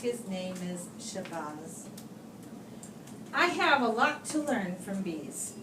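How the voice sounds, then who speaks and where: A woman reading a children's picture book aloud like a teacher, with expressive, deliberate phrasing and pauses for young listeners, recorded at a distance in a room. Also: a little high. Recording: roomy, distant microphone, clear background noise.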